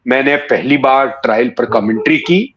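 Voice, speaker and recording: A man in his sixties from Rajasthan reminisces in a conversational interview, telling stories in an unhurried way with hesitant pauses. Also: medium pitch, fairly bright tone. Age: 60s. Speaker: interview guest, writer and former cricket commentator. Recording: dull, muffled lo-fi audio.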